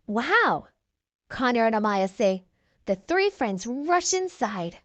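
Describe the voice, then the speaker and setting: A woman narrates a children's storybook in a high, very expressive voice. Her delivery is slow and animated, and the recording is dry. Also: no echo. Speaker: children's read-aloud narrator.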